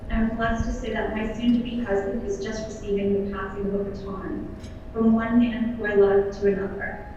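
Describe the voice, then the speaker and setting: Young woman, higher voice, heartfelt letter reading, distant echoey dull hall sound. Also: noisy background. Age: young adult. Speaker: speaker.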